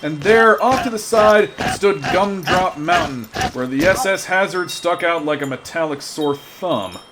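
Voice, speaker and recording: A man's voice: high voice, unhurried, noisy background. A man performing as a dramatic storyteller, giving scripted narration for a sci-fi comedy audio drama.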